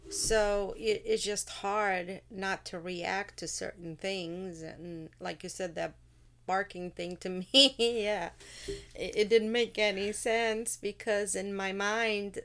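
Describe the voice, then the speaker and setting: A woman with a Portuguese accent, English her second language, chatting casually with her husband and often pausing to search for words. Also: medium pitch, steady tone, fairly bright tone. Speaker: podcast guest, host's wife. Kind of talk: casual chat. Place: same room as host, recording studio setup.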